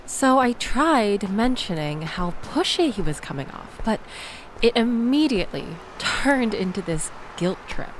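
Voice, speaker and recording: A woman's voice: medium pitch, sing-song, unhurried, slight background noise, poor audio. A woman playing a sardonic young woman venting about a bad date in an intimate audio roleplay, wry, wordy and exasperated. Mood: exasperated, indignant. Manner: wry, exasperated, wordy, theatrical emphasis with sighs and groans.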